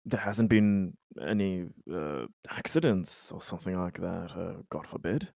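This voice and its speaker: Deliberate low-voiced man, slow and dry. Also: expressive, creaky, darkish tone, no room sound. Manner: deliberate, formal, hesitant with repeated phrases. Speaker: tabletop roleplayer. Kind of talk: improvised in-character roleplay dialogue.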